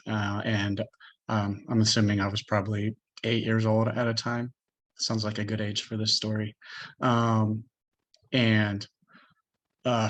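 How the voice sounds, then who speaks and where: A man in his early thirties telling his own experiences casually and haltingly as a panelist. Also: on the low side, fairly bright tone. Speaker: patient panelist. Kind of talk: casual storytelling on an online panel.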